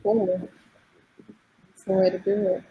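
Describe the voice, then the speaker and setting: A woman's voice: medium pitch, little pitch movement, a little slow, husky, dull audio. A woman chatting casually in a group, inquisitive and direct.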